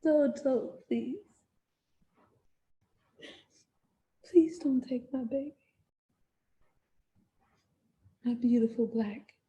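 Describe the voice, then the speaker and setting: A woman performs an enslaved mother in a theatrical monologue, anguished and fiercely loving. She speaks very slowly and emphatically in a mid-pitched voice, letting dramatic pauses hang between phrases. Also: steady tone, a little mellow, natural voice. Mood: desperate. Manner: slow, emphatic, repetitive delivery with dramatic pauses.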